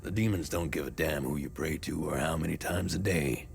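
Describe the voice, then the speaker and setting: A man's voice: a bass voice, vocal fry, a little hiss or hum, heavily acted. An actor playing a weary, bitter, devout middle-aged man in a war-torn country, in grim video game dialogue. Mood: fearful, grim. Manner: grim, world-weary, deliberate.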